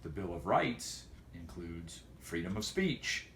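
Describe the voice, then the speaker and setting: A man speaking as a teacher and host of a homemade video for students, in a folksy, wordy, deliberate way. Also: low voice, very animated, vocal fry, a bit bright, reverberant, a little hiss or hum. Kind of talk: casual educational vlog for students, with read-aloud.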